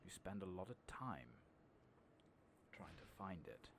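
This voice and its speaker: A man giving soft-spoken ASMR commentary on a video game, hushed and breathy, often slipping into a whisper, in a gentle, rambling way. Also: deep voice, very animated, a little slow, background noise. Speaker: gaming streamer.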